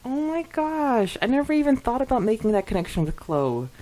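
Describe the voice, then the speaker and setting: A woman co-hosting a podcast, chatting casually in a giggly, expressive way as she reacts to listener comments. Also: on the high side, fairly quick, poor audio. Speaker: podcast co-host.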